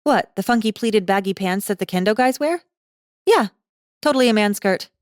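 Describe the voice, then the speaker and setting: A woman's voice: medium pitch, expressive, moderate pace, no echo, clean studio sound, pitched above the performer's own voice, lightly acted. A woman playing a wary, slangy young college girl in a dramatized fantasy audiobook, talking in a casual, hesitant and sarcastic way. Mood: sarcastic, incredulous. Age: young adult. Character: slangy, wary, awkward nineteen-year-old college girl.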